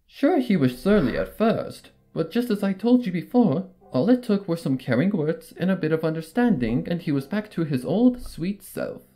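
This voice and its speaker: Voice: medium pitch, very animated, moderate pace, hardly any pauses, dark tone, pitched above the performer's own voice. A narrator voicing a refined, overly dramatic female pony seamstress with a gushing, genteel manner in a fan fiction reading. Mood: gushing, eerily cheerful.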